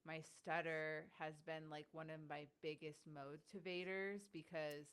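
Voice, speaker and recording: A young woman hosts a casual interview in a lowish, smooth voice. She is chatty and stutters at times on words. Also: level tone, a little slow. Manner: warm, chatty, stutters at times, many "like" fillers. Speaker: podcast host.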